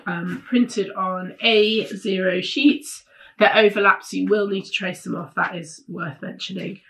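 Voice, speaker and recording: A woman hosting a weekly sewing pattern roundup, chatting casually to camera in a husky, congested voice because of a cold, full of enthusiasm and frequent ums. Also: mid-range voice, a bit bright, a little hiss or hum, poor audio.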